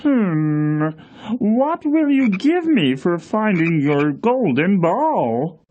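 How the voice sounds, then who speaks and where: A woman voicing an earnest, insistent male frog in a children's fairy tale, with exaggerated, deliberate delivery. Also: fairly low pitch, very animated, mellow, dull audio, exaggerated voice. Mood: bargaining.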